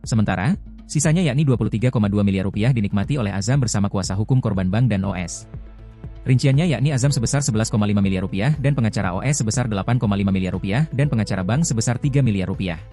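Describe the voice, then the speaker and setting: A man's voice: fairly low pitch, very fast, dark tone, soft background music. A man reading scripted news narration as a news narrator.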